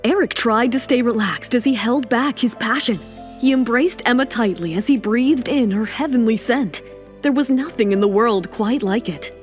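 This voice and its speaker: A woman reading scripted narration for an audio drama with smooth, even storytelling. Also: a little high.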